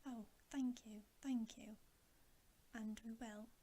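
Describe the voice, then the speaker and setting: A woman's voice: a little high, steady tone, slow pace, mellow. A woman voicing anxious, tender young girls from a storybook in an earnest, worried whisper. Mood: pleading, grateful.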